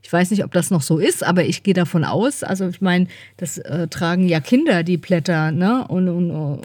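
A woman's voice: fairly low pitch, moderate pace, a little mellow, no echo. Chatty woman co-host, very dry sound. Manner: chatty, informal. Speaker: podcast co-host. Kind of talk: casual chat.

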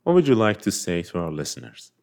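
Male host, lowish smooth voice, easygoing. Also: huge pitch swings, quick pace, a little mellow. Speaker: podcast host.

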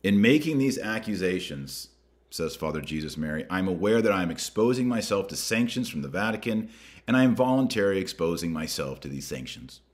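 An American man hosting a podcast, reading a document aloud and commenting on it with deliberate emphasis. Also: sits low, big pitch swings. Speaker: podcast host.

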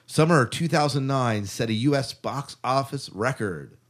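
A man's voice: medium pitch, lively intonation, measured pace. A man hosting a podcast, chatting casually through headlines and prompting his co-hosts. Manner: conversational, prompts co-hosts with questions. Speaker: podcast host. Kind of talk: casual chat, reading headlines.

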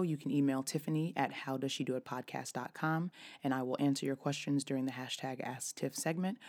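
A woman in her thirties hosting her own podcast, her voice raspy and hoarse, talking casually with frequent restarts. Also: extremely low pitch, a little mellow. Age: 30s. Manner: chatty, with frequent restarts and fillers. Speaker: podcast host. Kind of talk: casual solo podcast talk.

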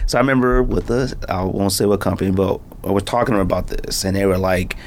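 A man's voice: low voice, quick pace, a little hiss or hum. A middle-aged American man hosting a podcast, chatting casually in slangy, rambling anecdotes. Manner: slangy, animated storytelling with frequent "man" and "fam". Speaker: podcast host. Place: indoor podcast studio.

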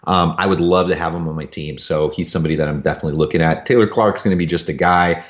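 A low-pitched man talks sports as a podcast analyst, recorded with a dull, lo-fi sound. His delivery is informal and halting, with pauses as he works out his thoughts. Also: steady tone. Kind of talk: casual sports talk, analysis. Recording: dull, lo-fi voice connection.

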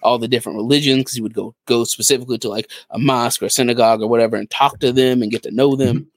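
A man's voice: mid-range voice, steady tone, very fast, no echo. A man co-hosting a podcast, recounting things in a casual, conversational way. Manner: conversational, retelling. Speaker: podcast co-host.

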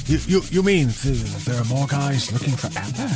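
A man's voice: mid-range voice, expressive, moderate pace, lightly acted. A man playing a bewildered, hapless slacker who stammers and hesitates through a radio comedy. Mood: hesitant. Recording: music behind the voice.

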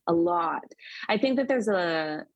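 A woman speaks in a lowish, confident tone, pressing her points with measured emphasis. The recording is dry and close, with no room sound around her. She talks as an expert guest on a podcast. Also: animated, vocal fry, no echo, muffled recording. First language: English. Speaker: social media and PR expert guest. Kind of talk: podcast conversation.

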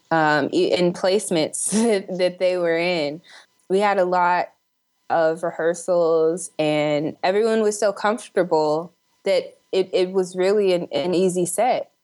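Low-pitched woman in casual interview. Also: a little mellow. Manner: upbeat, chuckles while talking. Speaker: interview guest, actor and film director.

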